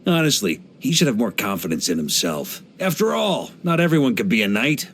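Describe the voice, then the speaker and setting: An actor playing a hearty, easygoing veteran knight grandmaster in a video game, speaking in an expressive, playful, conversational way. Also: male voice, medium pitch, a little fast, slight background noise. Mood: cheerful, proud. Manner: jovial, expressive, playful, chuckling asides.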